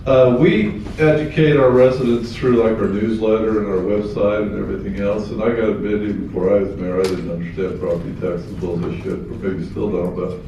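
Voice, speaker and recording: A mayor speaking bluntly and plainly in a meeting. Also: male voice, on the low side, little pitch movement, fairly quick, few pauses, dark tone, slight background noise. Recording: distant, roomy, dull sound.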